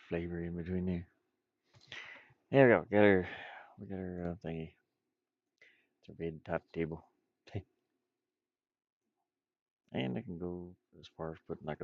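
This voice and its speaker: A man's voice: low voice, fairly even intonation, measured pace, creaky, long pauses, no echo, dull audio. A man thinking aloud in short, offhand phrases as he casually demonstrates game art on his computer. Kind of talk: casual screen-recorded work-along, thinking aloud.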